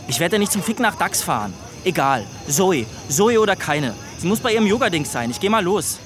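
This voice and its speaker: A man's voice: high-pitched, very animated, a little fast, a bit bright. A man playing a crude, frustrated, self-loathing teenage boy who rambles in whiny slang in a radio drama. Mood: restless. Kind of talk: colloquial radio drama dialogue.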